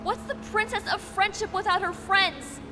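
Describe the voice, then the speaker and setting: A woman's voice: very high-pitched, moderate pace, sharp tone, faint music behind. A woman voicing an earnest, resolute young pony princess in a dramatic story reading, urgent and emphatic as she pleads. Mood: desperate, insistent. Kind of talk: dramatic fan fiction reading.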